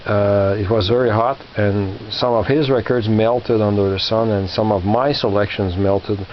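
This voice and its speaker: A man in his fifties with a slightly low voice talks through his flea market finds in a halting, rambling way, full of fillers and restarts. The recording sounds a little muffled, with a faint hiss underneath. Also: steady tone. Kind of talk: casual rambling show-and-tell.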